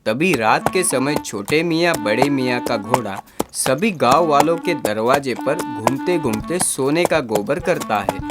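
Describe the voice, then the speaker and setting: A narrator reading a scripted comic moral story for an animated children's tale. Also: medium pitch, measured pace, over loud music.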